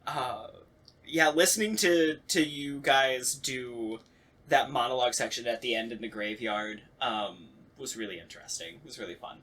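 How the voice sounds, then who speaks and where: A man hosting a casual podcast discussion, talking in a rambling, animated, sweary way. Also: medium pitch, moderate pace. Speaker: podcast host.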